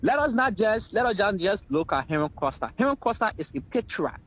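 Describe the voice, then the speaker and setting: A man's voice: sits high, sing-song, brisk, a bit bright, highs cut off, a little hiss or hum. A man with a Liberian accent giving heated political commentary as a talk show guest, emphatic and repeating phrases for effect.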